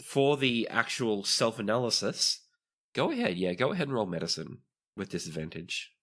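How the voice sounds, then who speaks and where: Male game master, low-pitched, for narrating tabletop roleplaying scenes. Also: very animated, normal speed, fairly bright tone.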